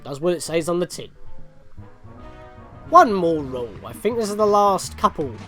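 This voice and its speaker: A man's voice: sits high, animated, moderate pace, fairly bright tone, soft background music. A man hosting a gaming video with casual, rambling let's play commentary and chatty asides.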